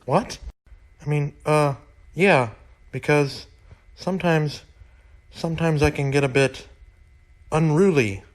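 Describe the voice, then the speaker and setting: A man's voice: fairly high pitch, slow, pauses often. A man playing a hesitant, evasive coworker in an audio comedy skit. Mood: flustered.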